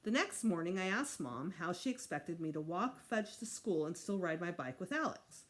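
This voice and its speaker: Expressive woman reading a storybook aloud. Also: on the low side, moderate pace. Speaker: teacher reading aloud to students.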